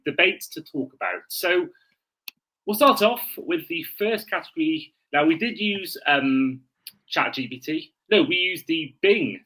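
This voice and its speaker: Bright-voiced man co-hosting a sports podcast. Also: a little high, slightly rough, low-quality recording. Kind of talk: casual podcast chat.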